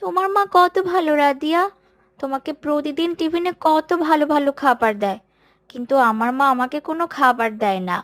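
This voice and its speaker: A woman's voice: high voice, a little fast, fairly bright tone, no echo. A woman voicing a sulky, resentful little schoolgirl in a cartoon, in a pouty manner. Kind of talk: cartoon dialogue.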